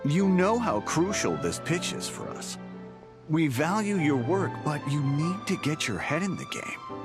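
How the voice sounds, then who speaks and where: Wildly expressive, high-pitched demanding boss. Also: male voice, measured pace. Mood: patronizing, critical. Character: demanding, patronizing male company boss who turns flustered. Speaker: boss in a game story. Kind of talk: animated video game voice acting. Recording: music playing behind the voice.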